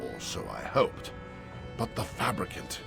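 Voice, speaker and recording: A weary old man, voiced by an actor in a fantasy game, grave and regretful. His low voice moves in lively swings, with clear music behind it. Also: a little slow, heavily acted. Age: older. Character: weary, cautious old male pilgrimage survivor, regretful.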